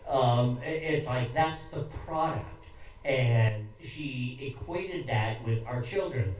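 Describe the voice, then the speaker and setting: Male show host, American, casual and blunt, rambles haltingly, off-mic in a heavily reverberant room. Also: medium pitch. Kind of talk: casual opinionated interview talk. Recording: very echoey room, distant, faint noise.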